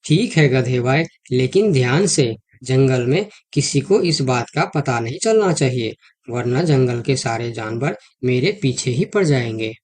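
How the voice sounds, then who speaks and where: A man's voice: medium pitch, animated, measured pace, darkish tone. A man voicing a sly jackal swindler in a children's cartoon story, persuasive and falsely friendly. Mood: coaxing. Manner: persuasive, smooth-talking.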